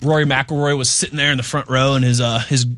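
A man's voice: medium pitch, little pitch movement, fast, fairly bright tone, no echo. A man hosting a sports radio show, chatting casually and laughing easily.

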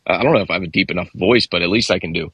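A man analyzing football on a sports talk show, measured and thinking aloud as he weighs each point. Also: sits low, husky, dry recording, highs cut off.

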